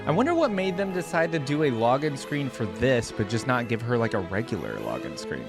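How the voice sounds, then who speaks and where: A man's voice: mid-range voice, very animated, a little fast, music in the background. A man giving casual, easygoing reaction commentary as a video host, rambling and thinking aloud.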